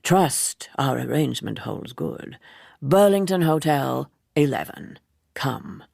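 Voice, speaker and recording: A woman voicing a suave, persuasive Edwardian gentleman who speaks deliberately and confidingly in a novel reading. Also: very deep voice, big pitch swings, creaky, no echo, studio quality, exaggerated voice. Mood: terse.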